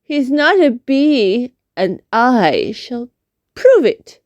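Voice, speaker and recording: A clever little girl bee in a children's storybook played by a woman, suspicious and bossy. The voice sits high and moves in animated swoops, pointed and insistent. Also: very slow, dry recording, muffled recording, exaggerated voice. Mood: triumphant accusing.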